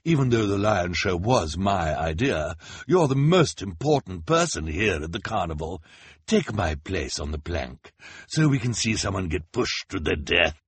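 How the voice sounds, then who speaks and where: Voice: man acting a husky, oily storybook villain. Temper: slippery. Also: medium pitch, very animated, moderate pace, fairly bright tone, dry recording, voice pushed up, exaggerated voice. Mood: oily flattery. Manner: oily, evasive, self-important. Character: scheming theatrical male villain, slippery and cowardly.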